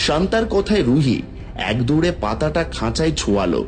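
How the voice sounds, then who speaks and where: A man's voice: fairly high pitch, big pitch swings, fairly quick. A man reading scripted fairy tale narration for a cartoon. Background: background music.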